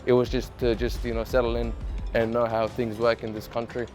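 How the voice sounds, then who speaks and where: A man's voice: mid-range voice, monotone, a little fast, a little mellow, soft background music. A man from Iraq speaking casually in an interview and repeating himself as he talks. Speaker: employee sharing his story. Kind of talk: interview testimony.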